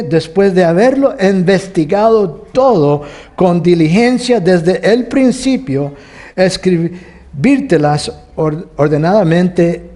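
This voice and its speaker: A man teaching from the Bible to a congregation, with Costa Rican and Mexican Spanish and a halting manner full of restarts. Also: fairly high pitch. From United States, California. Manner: warm, halting with many restarts, chuckles often. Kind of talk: sermon teaching.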